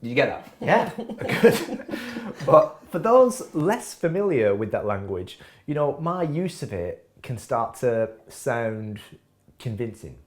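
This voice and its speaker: Male host, kitchen setting, reflective and questioning, pitch that rises and falls a lot. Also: big pitch swings, slow pace, a little hiss or hum. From England. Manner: musing, questioning, breaks into laughs. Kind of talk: scripted reflective talk to camera. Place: home kitchen.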